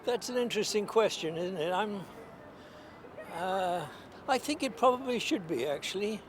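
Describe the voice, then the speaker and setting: A man's voice: sits high, lively intonation, moderate pace. A man musing hesitantly as he answers in a street interview. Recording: faint outdoor street noise.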